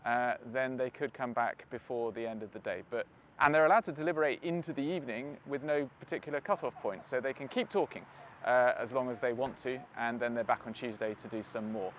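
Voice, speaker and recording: A man's voice: medium pitch, fairly quick, lo-fi sound, a little hiss or hum. A British man hosting, chatting casually and self-deprecatingly outdoors while waiting for a bus. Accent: British English. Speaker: host. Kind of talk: casual interview and vlog wrap-up.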